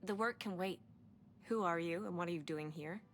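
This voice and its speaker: An actress playing a guarded, plain-spoken local woman in a video game, brisk and matter-of-fact. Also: on the low side, slight background noise, close to an everyday voice. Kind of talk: video game cutscene acting.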